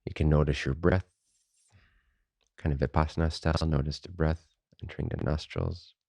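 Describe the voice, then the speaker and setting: A man leading a guided meditation as a teacher, unhurried and informal, speaking in short phrases with pauses between them. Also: very deep voice, steady tone, vocal fry, a little mellow, slightly rough, no room sound.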